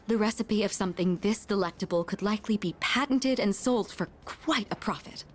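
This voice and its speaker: A woman's voice: medium pitch, a little fast, soft background music, close to an everyday voice. An actress playing a well-bred, earnest young woman in a video game, speaking formally and politely with naive curiosity and the odd French phrase. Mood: warm, wistful.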